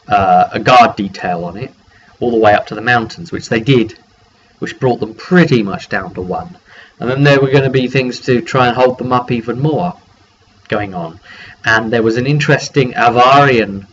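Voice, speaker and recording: A man's voice: on the low side, fairly bright tone. A man giving a casual, rambling, conversational game master's recap of a tabletop role-playing session in a one-take vlog. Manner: rambling, conversational, acts out brief dialogue. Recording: dull lo-fi sound, clear background noise.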